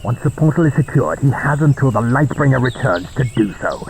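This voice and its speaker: A man's voice: mid-range voice, expressive, brisk, noisy background, lightly acted. An actor playing a stern male superior giving clipped orders by radio. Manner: clipped, authoritative. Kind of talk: audio drama acting.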